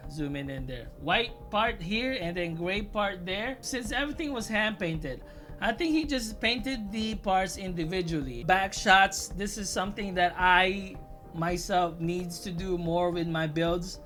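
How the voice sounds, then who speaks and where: A hobby channel host giving casual review commentary in an easygoing way, often pausing to search for words with fillers. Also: mid-range voice, a bit bright.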